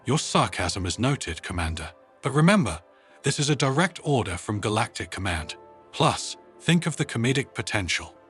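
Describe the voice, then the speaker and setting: A man's voice: mid-range voice, big pitch swings, normal speed, a bit bright, faint music behind. A man voicing an amused, formal, teasing insect-like alien admiral in a sci-fi audio story.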